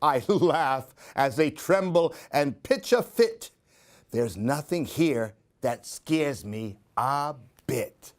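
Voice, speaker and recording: A man reading a children's story aloud in an expressive, theatrical, singsong way. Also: medium pitch, slow. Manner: theatrical, singsong rhyming delivery.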